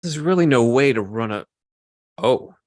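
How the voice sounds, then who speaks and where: A man's voice: medium pitch, expressive, normal speed, darkish tone, no room sound, clean studio sound, lightly acted. A man playing a blunt, skeptical, grumpy man at a script table read. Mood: blunt, uneasy.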